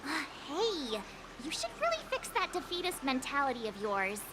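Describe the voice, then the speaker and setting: A woman's voice: high-pitched, huge pitch swings, moderate pace. A woman voicing a bubbly, cheeky teenage adventurer girl in an anime-style game, perky and eager. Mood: cheeky scolding. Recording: loud noise behind the voice.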